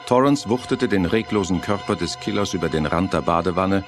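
A man's voice: low-pitched, brisk, over music. A man narrating a horror audio drama in a scripted, dramatic thriller style.

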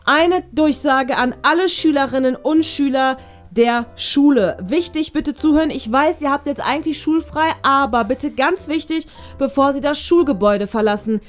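Woman as apologetic school official on announcements, high-pitched, formal, hesitant delivery. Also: normal speed, soft background music, close to an everyday voice. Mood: urgent, apologetic. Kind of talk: school announcement in a comedy skit.

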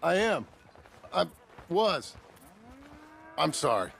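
An actor playing a patient middle-aged American cowboy ranch hand in a video game, with a gravelly voice and a reassuring drawl. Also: male voice, fairly high pitch, sing-song, slow, fairly bright tone, a little hiss or hum, exaggerated voice. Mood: hesitant, obliging. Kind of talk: naturalistic video game cutscene acting.

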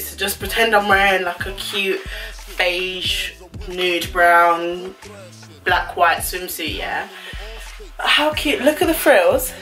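A woman's voice: medium pitch, a bit bright, far from the mic, rough recording. A woman, chatting casually and gushing through a clothing try-on haul, with slangy asides. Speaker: fashion vlogger. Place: small bedroom indoors. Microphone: camera on a stand a few feet away.

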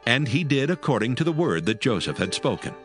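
Male narrator, dramatized scripture, lively intonation, music behind. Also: medium pitch, brisk.

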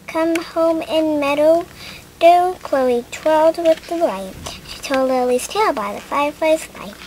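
High-voiced, slow storybook reader, female. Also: a little hiss or hum, rough recording. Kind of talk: reading a children's picture book aloud.

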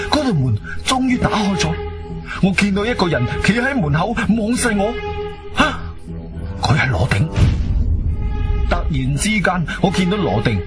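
A man acting as an intrepid adventurer, telling his story in expressive, suspenseful Cantonese radio-drama style. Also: fairly high pitch. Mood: ominous. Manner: expressive, suspenseful, colloquial delivery. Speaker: narrator and lead actor. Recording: background music under the voice.

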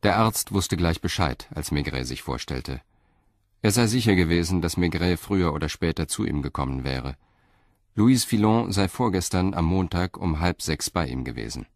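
A man narrating a radio crime drama in a measured, even way. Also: low voice, no echo, studio quality.

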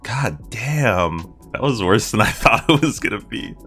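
Low-voiced young man narrating over music. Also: expressive, unhurried, a bit bright. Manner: irreverent, joking, swears casually. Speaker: video essay narrator. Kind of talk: casual scripted video essay narration. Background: music with guitar under the voice.

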